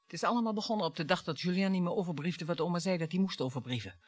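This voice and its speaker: An actress in a radio play playing a bitter, provocative wife who dwells on words theatrically. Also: female voice, on the low side, rapid-fire, no room sound, lightly acted. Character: bitter, taunting wife dredging up old family grievances.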